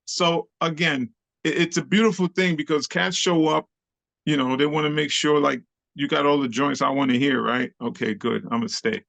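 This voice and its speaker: Gravelly New man in his sixties. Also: a little high, moderate pace, dry recording. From Haiti, raised in Brooklyn, New York. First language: Haitian Creole. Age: 60s. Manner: animated, slangy, laughs often. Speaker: interview guest, club dancer. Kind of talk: casual storytelling interview.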